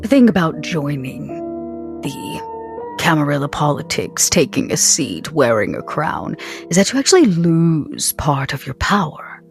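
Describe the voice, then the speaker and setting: Woman playing a low-voiced scheming vampire businesswoman. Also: sing-song, creaky, soft background music, full character voice. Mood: measured, persuasive. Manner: deliberate, pausing, formal.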